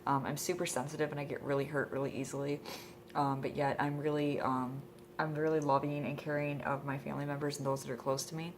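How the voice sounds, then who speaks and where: Low-voiced congested woman rambling. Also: little pitch movement, fast talker, a little hiss or hum. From Michigan. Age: 20s. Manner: rambling, hesitant, full of ums. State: congested from a cold. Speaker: vlogger. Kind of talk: casual introduction vlog. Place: indoors at home.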